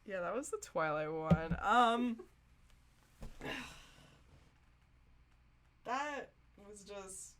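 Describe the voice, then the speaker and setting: Amused woman podcaster, medium pitch. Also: animated, very slow, pauses often, a bit bright, slight background noise. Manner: informal, slangy, amused. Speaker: podcast co-host. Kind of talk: casual chat and comedic story reading.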